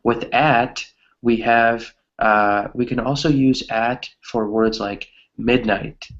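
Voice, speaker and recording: A man's voice: medium pitch, steady tone, takes it slowly, fairly bright tone. Casting: man as online English teacher, chatty and encouraging, explains and checks understanding, mid-range voice, lo-fi sound. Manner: encouraging, often adds "right?" as a check. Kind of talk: conversational online grammar lesson.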